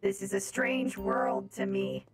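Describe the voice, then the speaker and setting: A woman's voice: on the high side, steady tone, measured pace, creaky, background noise. A woman voicing a curious, commanding female space robot with stilted, imperious phrasing in an improvised online game roleplay.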